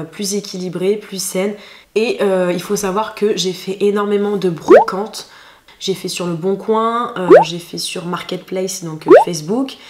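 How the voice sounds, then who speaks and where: A French woman vlogging in a casual chat, hoarse and stuffed up from a cold, talking with many fillers and restarts. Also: lowish voice, darkish tone. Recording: echoey room with faint noise.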